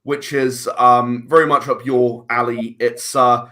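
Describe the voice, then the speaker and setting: A man's voice: mid-range voice, level tone, unhurried, bright, forceful tone. A man chatting casually as a podcast guest, rambling and repeating words as he searches for his phrasing. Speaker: interview guest, filmmaker and audio drama writer.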